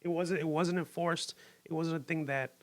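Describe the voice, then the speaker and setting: A man's voice: on the high side, fairly even intonation, moderate pace. A young man with a New York accent, a podcast guest talking openly in a casual, slangy way. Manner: open, earnest, slangy with many likes.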